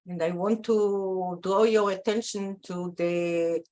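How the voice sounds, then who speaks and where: A woman's voice: mid-range voice, fairly even intonation, mellow. A woman with an Israeli accent, a public relations professor introducing herself over a video call, hesitant and full of fillers. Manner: slow and hesitant, with many fillers.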